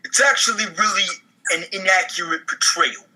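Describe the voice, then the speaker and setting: Very bright, higher-voiced man bantering. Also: normal speed, poor audio. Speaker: podcast cast member. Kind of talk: casual banter and table read.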